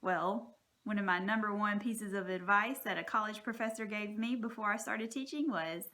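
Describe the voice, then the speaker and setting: A woman's voice: mid-range voice, moderate pace, sharp tone. A woman speaking as a teacher, giving informal, encouraging and reassuring advice to parents in a plain-spoken, folksy way.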